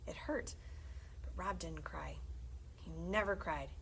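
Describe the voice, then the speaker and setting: A woman reading a children's story aloud in a dramatic way, often hushed at the ends of phrases. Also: fairly low pitch, slow pace, gravelly, a little hiss or hum.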